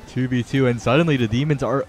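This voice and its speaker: A man giving color commentary on an online esports tournament in a relaxed, chatty way. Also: mid-range voice, fast talker. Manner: relaxed and chatty, laughs easily. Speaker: esports co-caster. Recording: faint game music behind.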